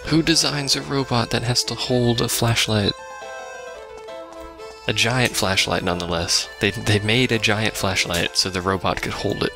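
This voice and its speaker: Man, low voice, vocal fry, deadpan sarcasm, casual gameplay commentary, music in background. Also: moderate pace. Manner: dry, sarcastic. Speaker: let's play commentator.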